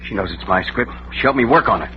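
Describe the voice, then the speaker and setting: Menacing hard-boiled American played by a man, lowish and terse, nursing a grudge, old radio drama with faint noise. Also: lively intonation, fast, muffled recording, close to an everyday voice. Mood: bitter, accusing. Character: bitter, cheated tough guy demanding payment, menacing. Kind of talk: 1940s radio crime drama acting.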